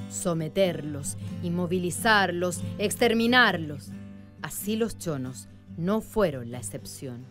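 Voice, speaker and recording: A woman narrating a radio drama in a solemn, literary manner. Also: lowish voice.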